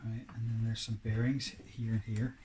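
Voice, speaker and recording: A man giving casual hands-on repair narration, halting and half talking to himself as he works. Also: lowish voice, flat delivery, dark tone. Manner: muttering to himself while working, halting. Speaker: DIY repair presenter. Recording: clearly noisy, with clicks and tool handling.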